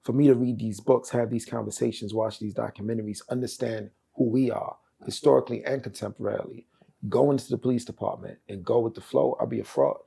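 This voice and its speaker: A man talks quickly in a gravelly, husky voice, darkly toned and low in brightness. He tells his story as a podcast guest, peppering it with streetwise slang and checking in with the listener. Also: steady tone. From Brooklyn, New York. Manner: streetwise slang, fast, frequent "you feel me". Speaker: guest, former police officer.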